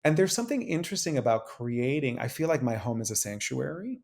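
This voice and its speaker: Male guest, gravelly mid-pitched voice, lively casual interview talk, repeats phrases. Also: fast talker. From Cuban-American. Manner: animated, repeats phrases and restarts often. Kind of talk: casual conversation. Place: New York City.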